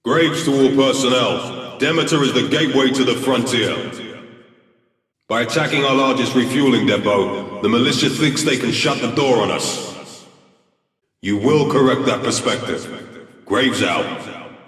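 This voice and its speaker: A man's voice: medium pitch, unhurried, fairly bright tone, reverberant, far from the mic, lightly acted. Man acting a stern, commanding military admiral. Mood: rallying, forceful. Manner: commanding, clipped, formal orders. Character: stern, authoritative male military vice admiral commanding troops. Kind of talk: video game military briefing voice acting.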